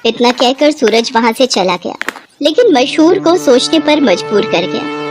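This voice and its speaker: A woman narrating a scripted moral story in an expressive storytelling manner. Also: a little high, a little slow, faint music behind.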